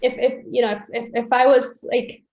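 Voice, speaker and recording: Chatty young woman from Peru, high voice. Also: fairly even intonation, normal speed, a little mellow, lo-fi sound. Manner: chatty, many restarts and fillers, laughs easily. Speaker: interview guest, entrepreneur. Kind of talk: casual interview chat.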